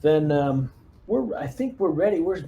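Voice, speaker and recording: A man chatting casually as a player in a tabletop game, speaking politely and haltingly. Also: a little high, lively intonation, gravelly, dull audio, soft background music. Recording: noticeable background noise.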